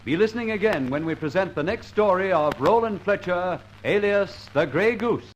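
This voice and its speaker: A man's voice: high voice, lively intonation, moderate pace, a little hiss or hum, poor audio. A man playing a dramatic announcer for an old-time radio adventure serial. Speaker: programme announcer.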